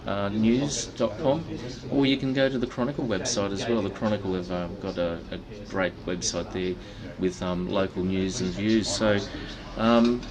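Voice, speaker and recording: A man's voice: on the low side, normal speed, hardly any pauses. Laid-back host guy reading news, lots of ums, cafe chatter behind. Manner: relaxed, with many ums and uhs. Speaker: livestream host. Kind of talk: casual news roundup and interview. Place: busy coffee shop. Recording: café crowd chatter behind.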